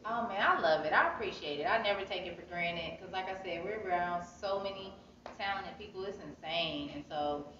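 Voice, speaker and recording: A woman's voice: on the low side, fairly quick, bright, forceful tone. A young American woman talking casually and reflectively as an interviewed author, recorded from a few feet away in an indoor gallery. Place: indoor art gallery, seated against wall. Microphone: phone camera several feet away. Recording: echoey room, distant mic, dull sound, crowd noise.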